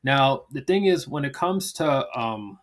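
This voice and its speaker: A man's voice: mid-range voice, lively intonation, measured pace. A man hosting his channel, explaining in a loose, rambling way with lots of fillers and restarts. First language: English. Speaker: channel host teaching language promotion. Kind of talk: casual talk-to-camera explainer.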